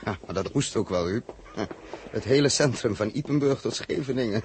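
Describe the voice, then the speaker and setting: An actor from the Netherlands, with a Dutch accent, playing a steady pilot and crew leader in a 1960s science fiction radio drama, explaining briskly. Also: male voice, medium pitch, slight background noise, close to an everyday voice. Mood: brisk, explanatory.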